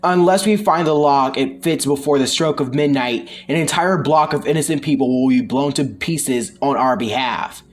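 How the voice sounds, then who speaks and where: A man's voice: mid-range voice, normal speed, hardly any pauses, lightly acted. A man voicing a stern comic book vigilante who gives orders with scolding authority. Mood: grave. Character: stern, commanding male vigilante superhero.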